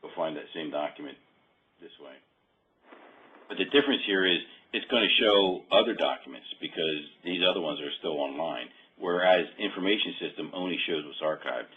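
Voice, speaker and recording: A man with a very low voice walks someone through software on a muffled, phone-like call. He talks casually and haltingly, working things out aloud as he goes. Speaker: software demonstrator. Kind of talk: casual screen-share walkthrough. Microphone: phone or conference call.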